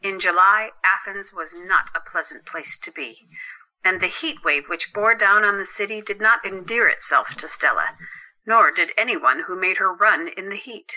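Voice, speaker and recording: A woman's voice: medium pitch, normal speed, pushed and bright, dull audio. A woman narrating a scripted story as the narrator of an audio drama.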